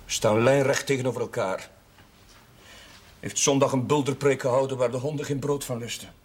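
An actor playing a troubled, idealistic young village priest in a television period drama, speaking Dutch in an earnest, brooding way. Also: male voice, mid-range voice, fairly even intonation, moderate pace, darkish tone, lightly acted. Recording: noisy old television soundtrack.

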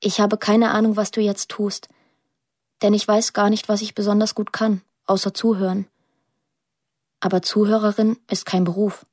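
Pensive young woman, played by an actress, slightly husky. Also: medium pitch, flat delivery, normal speed, a little mellow, no room sound, clean studio sound, close to an everyday voice. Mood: reflective, confiding. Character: pensive young woman narrating her estranged family. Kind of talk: introspective radio drama narration.

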